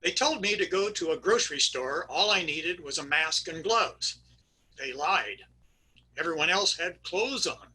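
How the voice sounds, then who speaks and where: A man's voice: a little high, lively intonation, a little slow, bright, forceful tone, husky, rough recording. An older man giving a performed reading of jokes and stories on a video call. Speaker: readers theater performer.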